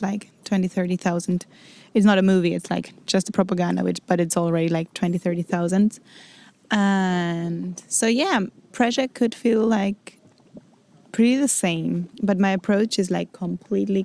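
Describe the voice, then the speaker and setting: A woman's voice: lowish voice, vocal fry, a little mellow. A woman in her forties who grew up in Greece and Germany, talking casually as a vlog host, informally searching for words in non-native English. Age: 40s. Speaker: freediving instructor and show host. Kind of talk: casual personal vlog talk.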